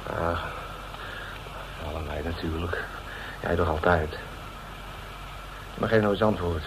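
A man's voice: low voice, slow. An actor with a Netherlands Dutch accent playing a suspicious, touchy man in a radio drama, hesitant, colloquial and blustering. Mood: indignant, suspicious. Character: suspicious, touchy man receiving late-night visitors. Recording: muffled, noisy radio recording.

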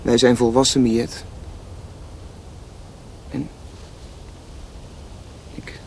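A man's voice: medium pitch, little pitch movement, gravelly. Tender teenage boy in love. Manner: soft, halting, intimate speech. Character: tender, earnest seventeen-year-old boy in love, young rebel. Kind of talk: radio drama acting. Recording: noisy radio play, partly echoey and distant.

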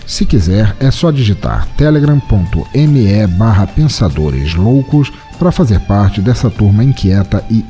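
Brazilian male podcast host and horror reader: low, mellow, animated delivery, faint music underneath. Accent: Brazilian Portuguese. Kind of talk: podcast announcements and scripted horror story narration.

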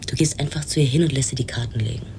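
Radio play role: confident, superstitious rival in love, low voice, insistent, rumbling noise behind. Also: female voice, very fast, vocal fry, warm tone. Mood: conspiratorial. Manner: insistent, assertive. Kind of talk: radio drama acting.

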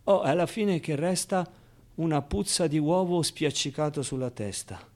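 Man acting scrappy, wounded orphan storyteller, higher-pitched. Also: lightly acted. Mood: wistful, subdued. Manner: colloquial, halting repetitions, swinging from outbursts to hushed confiding. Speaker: stage actor performing a monologue. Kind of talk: theatrical first-person radio monologue.

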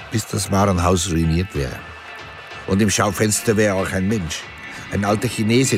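Radio play eccentric man artist, lower voice, musing and savoring words, music bed. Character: male artist dreaming of gleefully destructive machines. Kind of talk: radio drama monologue.

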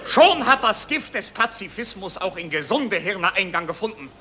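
Schriller, bombastischer deutscher Amtsarzt. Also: male voice, high-pitched, sing-song, normal speed, pushed and bright, a faint crowd, heavily acted. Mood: indignant, bombastic. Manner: pompous, officious, theatrical oratory. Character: bombastic, nationalist German psychiatrist, self-important official. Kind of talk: 1940s German radio play, declamatory speech. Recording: noisy 1940s radio recording.

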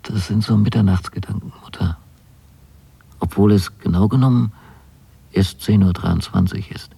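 An actor playing a dreamy, naive young son who narrates letters to his mother in a measured, polite radio monologue. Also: sits low, creaky, dark tone, husky, heavily acted. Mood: dreamy musing. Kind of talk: literary radio drama monologue.

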